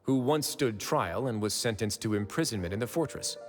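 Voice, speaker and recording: A man playing a wry, self-assured prison warden with a lowish voice that speaks calmly and dryly. A long reverb trails his words. Light game music sits behind him. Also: brisk, natural voice. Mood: explaining. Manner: calm, dry, confident. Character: calm, wry male prison administrator. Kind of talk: video game voice acting.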